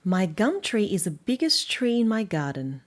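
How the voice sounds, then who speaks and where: A woman with an Australian accent voicing a friendly, proud young male storybook character, speaking slowly and deliberately for a children's read-aloud. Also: mid-range voice, very animated. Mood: proud, happy.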